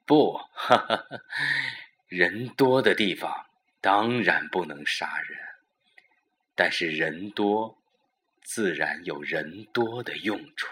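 A man playing a smug, scheming murder plotter in a suspense audio drama, coaxing his lover with emphatic, deliberate instructions. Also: low voice, animated, sharp tone, exaggerated voice. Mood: scheming, instructing.

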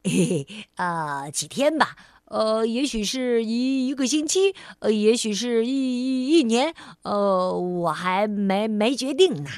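A woman's voice: on the high side, expressive, slow pace, few pauses, darkish tone, no echo, clean studio sound. A woman voicing a clumsy, cheerful grown man who stutters and repeats his words, in a children's storybook reading. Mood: bumbling. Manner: stammering, hesitant, repeating words.

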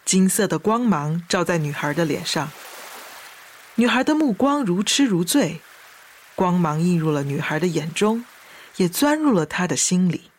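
A woman's voice: fairly low pitch, very animated, normal speed, slight background noise. A woman narrating a scripted radio drama in a lyrical storytelling manner over music. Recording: loud guitar music behind the voice.

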